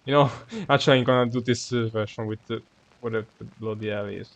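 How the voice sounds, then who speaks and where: Male streamer, mid-range pitch, casual gameplay chatter, hesitant mumbling to himself, slight background noise and clicks. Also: steady tone. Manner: muttering, halting, trailing self-talk. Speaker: game streamer. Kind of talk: casual live gameplay commentary.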